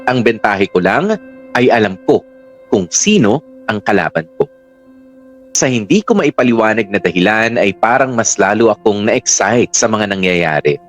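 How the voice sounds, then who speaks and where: A man's voice: fairly low pitch, animated, normal speed, faint music behind, lightly acted. A man with a Filipino accent performing reflective first-person radio drama narration as a lovestruck middle-aged parent. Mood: excited, confiding. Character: middle-aged parent in love, confiding, hopeful storyteller.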